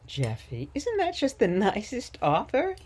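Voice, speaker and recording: A woman's voice: mid-range voice, huge pitch swings, measured pace, a little mellow, slight background noise. A woman playing a sweet, doting elderly grandmother in a radio play, with a gushing, soothing manner.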